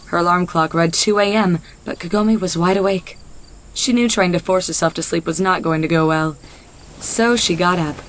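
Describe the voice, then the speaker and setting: A woman with a slightly low voice narrates a scripted story. It is a home recording, with noticeable noise behind her reading. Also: low-quality recording.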